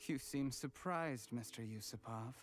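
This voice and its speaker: A man's voice: medium pitch, a little slow, music in the background, lightly acted. A man playing a bitter, ambitious young underling in a video game, earnest and theatrical. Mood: bitter, defiant. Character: bitter, ambitious young male underling turned vengeful plotter.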